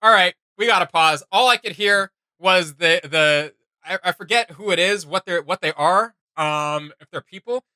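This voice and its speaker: A man's voice: high-pitched, normal speed, bright, forceful tone, smooth, no echo. A man hosting a reaction video, commenting casually in an amused, rambling way.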